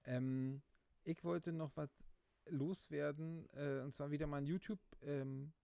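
A man's voice: on the high side, steady tone, a little slow, vocal fry, mellow, clear, resonant tone, no room sound. A man co-hosting a casual podcast, rambling with lots of fillers and restarts and getting worked up as he talks. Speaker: podcast co-host. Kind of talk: casual chat.

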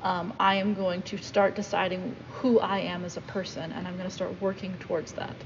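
A woman's voice: on the low side, little pitch movement, normal speed, big-room sound, lo-fi sound. Woman cohost musing about lyrics, hedgy, faint hiss behind. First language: not Korean. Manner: thoughtful, hedging. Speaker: podcast co-host. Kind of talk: casual discussion of song lyrics.

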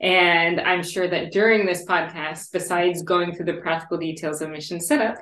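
Woman, podcast host, enthusiastic, lowish voice, echoey room. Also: steady tone, moderate pace, a bit bright. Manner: enthusiastic, welcoming. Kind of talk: podcast hosting, conversational. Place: room shared with guests.

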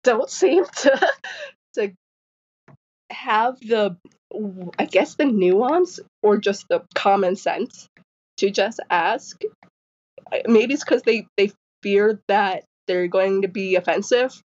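A woman's voice: medium pitch, slow, highs cut off. Woman in her twenties who stutters. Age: 20s. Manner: stutters, with repeated sounds and restarts; animated storytelling, acting out quoted people. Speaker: guest author. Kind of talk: casual interview chat.

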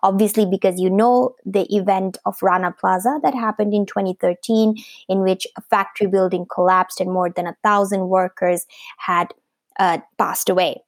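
A woman in her thirties, from Bangladesh, answers an interviewer's questions about her work. She talks in a hesitant way, full of fillers and restarts. Her voice is of middle pitch and sounds dry and close. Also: fairly even intonation, moderate pace, dry recording. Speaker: guest, fashion startup founder.

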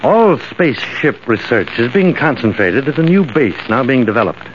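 Actor as earnest professor, crackly old radio recording. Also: male voice, medium pitch, sing-song, moderate pace, highs cut off, lightly acted. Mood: brisk, decided. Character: earnest male professor, senior scientist, solicitous and firm. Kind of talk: 1950s radio drama acting.

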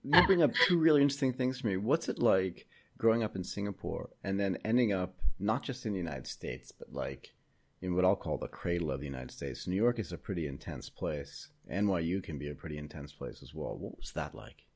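Middle-aged male podcast host, chatty, very deep, husky voice. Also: animated, a little fast. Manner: chatty, friendly, asks long questions. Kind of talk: casual interview.

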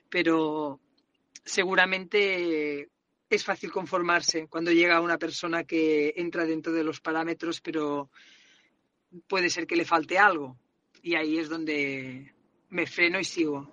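A woman's voice: low-pitched, fairly bright tone, no echo. A woman with a Catalan accent sending a grateful, reflective voice message while driving. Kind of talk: recorded voice message. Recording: muffled voice message from a car.

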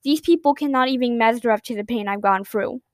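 A woman's voice: fairly high pitch, fairly quick, no room sound, clean studio sound, close to an everyday voice. A woman reading a cold, bitter young prince. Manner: cold, dismissive.

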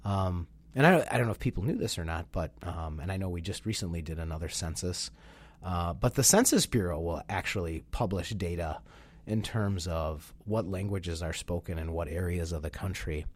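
Man, lowish voice, a bit nasal and stuffy from a sinus infection, lively webinar co-host, dry close mic. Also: normal speed, no echo. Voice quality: slightly nasal. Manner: animated and enthusiastic, with frequent "you know" fillers. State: congested, getting over a sinus infection. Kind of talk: conversational business webinar. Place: Chicago.

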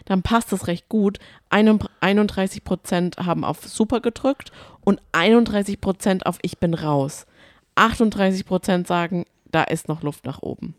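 A woman's voice: mid-range voice, normal speed, no echo, studio quality. A woman co-hosting a podcast, talking casually about reality TV shows. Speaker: podcast co-host.

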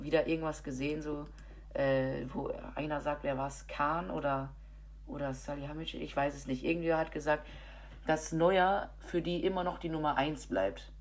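Low-voiced woman with a cold. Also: steady tone, moderate pace. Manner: rambling, many fillers and restarts. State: has a cold. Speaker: podcast co-host. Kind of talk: casual football chat.

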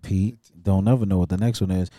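Very dark, mellow-voiced man co-host. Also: low voice, fairly quick. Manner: steady agreeing backchannel. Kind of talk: casual conversation.

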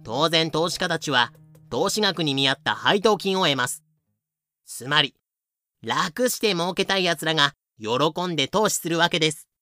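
A man's voice: fairly high pitch, animated, moderate pace, sharp tone, dry recording, soft background music. A man reading scripted comedic narration for a voice drama, explaining things with dry, sarcastic asides.

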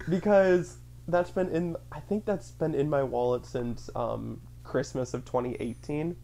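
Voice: mid-range voice, measured pace, warm tone. A speaker with a mid-range voice, chatting casually with a friend. The talk rambles, packed with fillers, and the speaker acts out their own thoughts in little quotes. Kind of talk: casual chat, storytelling.

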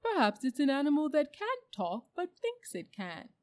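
Casting: eager, simple donkey for a storybook, raised pitch, bright and earnest woman performer. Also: wildly swooping pitch, normal speed. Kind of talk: storybook character voice.

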